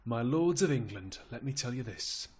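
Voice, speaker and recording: A man's voice: medium pitch, expressive, moderate pace, darkish tone, breathy edge. A man playing a principled, weary old duke who declaims verse sternly and with resignation. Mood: stern rebuke. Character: weary, principled old duke, rebuking yet powerless uncle.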